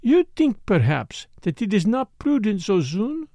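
A man's voice: sits high, very animated, a little slow, dark tone, no echo, studio quality, exaggerated voice. A man voicing a seductive, mocking Frenchwoman with a put-on French accent, in a teasing murmur.

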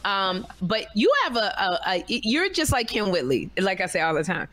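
A woman hosts a podcast at a middle pitch. She is effusive and gives directions, restarting and repeating her words as she talks. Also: big pitch swings, moderate pace, a bit bright. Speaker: podcast host. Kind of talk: casual podcast chat.

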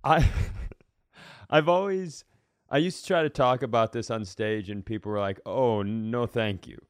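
A man's voice: mid-range voice, a little slow, no echo, clean studio sound. A man chatting casually as a comedy podcast host, telling rambling, self-deprecating stories.

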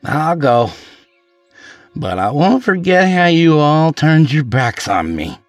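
A man's voice: medium pitch, big pitch swings, measured pace, no echo, soft background music, voice pushed up. A man playing a bitter, volatile, drunken lawyer in an audiobook story, sarcastic and prone to anger. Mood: menacing.

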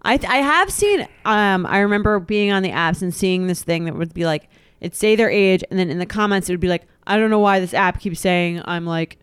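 Female co-host in her thirties, smooth and easygoing, dry studio sound. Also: lowish voice, a little fast, few pauses, no echo. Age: 30s. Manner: relaxed, thinks aloud, reacts with short agreements. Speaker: podcast co-host. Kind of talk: casual chat.

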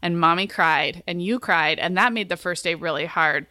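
Bright, clear woman explaining thoughtfully. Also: on the low side, normal speed, no room sound, studio quality. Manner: thoughtful, explanatory, speaks in example phrasings. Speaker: podcast co-host. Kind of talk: casual conversational advice talk.

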